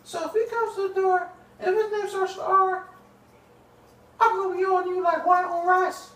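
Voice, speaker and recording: A woman's voice: extremely high pitch, flat delivery, moderate pace, a bit bright. A woman playing a hot-tempered, sulky, boastful young woman in an amateur puppet show skit. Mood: suspicious. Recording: roomy, echoey amateur indoor recording.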